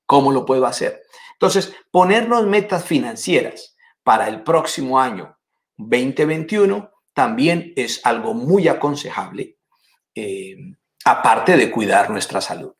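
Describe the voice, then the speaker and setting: A man's voice: mid-range voice, measured pace. Chatty man giving money advice. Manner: warm conversational, lively hand gestures. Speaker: financial educator and host. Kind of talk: casual advice talk to camera. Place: home office, indoors. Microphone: podcast mic in front.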